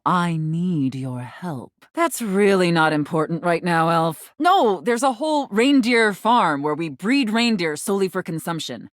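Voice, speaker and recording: A stern, imperious workshop boss playing Santa in a theatrical video game style. The voice sits on the low side and swings widely in pitch. Each order is measured and haughty, scolding and clearly in charge. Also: female voice, dry recording, studio quality. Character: stern, domineering woman playing a demanding Santa Claus boss.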